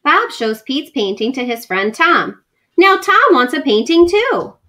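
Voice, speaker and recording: A woman reading a picture book aloud to children from home, animated and gentle, pausing to ask her young listeners questions. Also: high voice. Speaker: school librarian reading aloud. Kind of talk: children's read-aloud storytelling.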